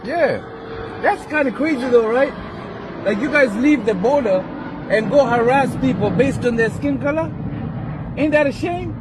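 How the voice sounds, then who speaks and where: A man's voice: very high voice, unhurried, pauses often, crowd noise. A man with a foreign accent, a rideshare driver, arguing in a challenging, indignant way on a video recorded outdoors. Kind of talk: confrontational argument caught on phone video. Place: outdoor parking lot. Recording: noisy outdoor street, vehicle sounds.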